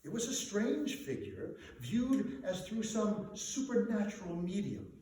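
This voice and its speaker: A male storyteller gives a dramatic reading of classic fiction. His phrasing is slow, theatrical and measured, in a fairly high voice. The recording is distant and strongly reverberant, as in a live indoor performance. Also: very animated, warm tone. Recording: echoey room, distant mic, faint noise.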